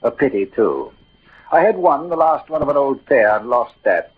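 A man's voice: mid-range voice, sing-song, moderate pace, breathy edge. An actor playing a proud, poetic, melancholy swordsman in a declamatory 1940s radio drama style. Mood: mocking. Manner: grandiloquent, declamatory, savouring verse. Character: Cyrano, swaggering poetic swordsman, proud, melancholy about his ugliness. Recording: muffled old radio recording, music behind.

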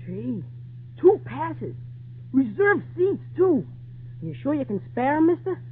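A man's voice: very high-pitched, sing-song, takes it slowly, full character voice. A male performer playing a wide-eyed, folksy young boy in an old-time radio drama. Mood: awestruck. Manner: folksy, awestruck. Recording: tinny noisy vintage radio recording.

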